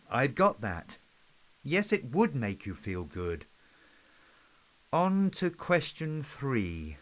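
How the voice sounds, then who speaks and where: A man playing a polite, folksy British Prime Minister in an amateur play, chatty and a little hesitant as he gives a public address. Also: mid-range voice. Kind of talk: amateur play script performance.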